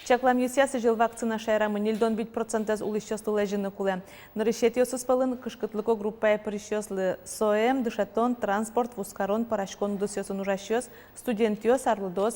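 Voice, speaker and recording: A woman reading the regional news as an anchor in a steady, scripted delivery. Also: on the high side. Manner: steady, even newsreader delivery. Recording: long reverb, faint noise.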